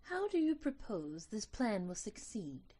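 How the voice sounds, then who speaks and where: A woman's voice: medium pitch, big pitch swings, a little slow, warm tone, slightly rough. A woman playing a tiny, delicate winged sprite in an audio drama, earnest and tender, with formal, solemn phrasing. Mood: earnest, gentle.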